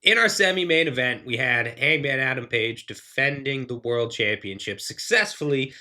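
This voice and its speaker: A man with a bright, forward voice that sounds slightly phlegmy from allergies. He talks through his thoughts in loose, earnest run-on sentences. His delivery is casual and analytical, like a host giving a spoken review. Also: medium pitch, moderate pace. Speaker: wrestling reviewer, show host.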